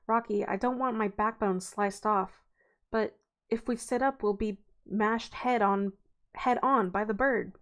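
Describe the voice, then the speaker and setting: A woman's voice: mid-range voice, steady tone, unhurried, fairly bright tone, lightly acted. A woman reading aloud, voicing a frightened young girl trapped in danger, pleading and panicked.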